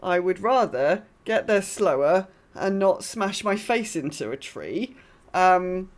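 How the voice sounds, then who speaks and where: A woman's voice: lowish voice, expressive, takes it slowly. A woman co-hosting a podcast, chatting casually about gaming in a wry, conversational way with occasional swearing. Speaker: podcast co-host.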